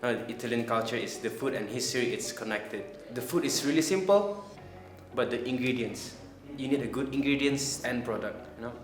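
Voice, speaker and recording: A man from Brunei rambles a little and repeats words, with a mid-pitched voice. The recording is echoey, with clear music behind him. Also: moderate pace. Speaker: culinary student. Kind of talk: interview testimonial. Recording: echoey room with background music.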